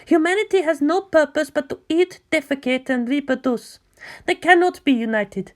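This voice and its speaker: Young zealot follower, female, woman performer: high-pitched, measured, bitter and disdainful, for scripted audio fiction. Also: lively intonation. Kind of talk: scripted audio drama reading.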